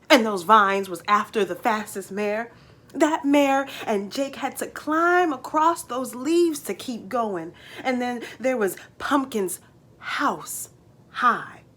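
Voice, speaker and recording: A woman telling a children's folk tale as a storyteller, expressive and dramatic, with folksy phrasing. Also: on the high side. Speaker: storyteller, teaching artist. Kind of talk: animated children's story narration.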